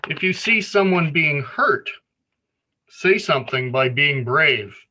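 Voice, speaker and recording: A man reading a children's picture book aloud in an expressive storytelling manner. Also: on the high side, slow, noisy background. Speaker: story reader.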